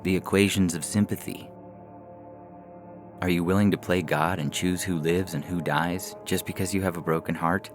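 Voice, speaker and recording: A man's voice: low-pitched, fairly even intonation, moderate pace, music in the background. A man reading science fiction stories aloud as scripted narration for a podcast.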